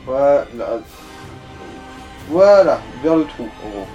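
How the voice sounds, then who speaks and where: A man's voice: on the high side, very animated, takes it slowly, lo-fi sound, faint music behind. A man giving casual let's play commentary as he guides the player, in slangy, crude language full of swearing. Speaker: gaming co-commentator guiding the player.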